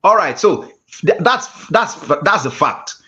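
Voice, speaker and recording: A man with a Nigerian accent teaching a public-speaking class, measured and repeating key phrases for emphasis. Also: sits high, sing-song, pushed and bright. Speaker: guest speaking coach. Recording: muffled lo-fi audio.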